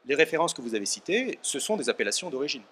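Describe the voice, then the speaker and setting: A man speaking as a panel guest at a trade fair interview, measured and halting, often pausing on fillers, into a handheld mic. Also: a little high, big pitch swings, a bit bright, breathy edge. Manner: measured, hesitant with many fillers. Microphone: handheld microphone.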